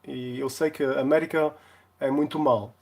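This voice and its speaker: A man from Portugal, chatting casually on a live stream in a jokey way, often halting to search for words. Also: mid-range voice, steady tone, gravelly, slightly rough. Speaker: live streamer, console repair video maker.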